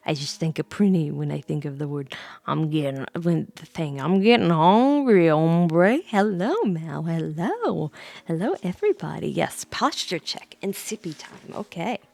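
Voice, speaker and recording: Casting: woman storyteller, slightly low creaky voice, soft ASMR bedtime reading with playful chat asides, dry close sound. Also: no echo. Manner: playful, rambling asides to viewers.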